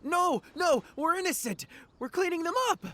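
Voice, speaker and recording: An actor voicing a brash, hot-headed horned oni gang boss in a video game, loud, boastful and dramatic. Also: male voice, very high-pitched, animated, normal speed. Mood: indignant, defensive.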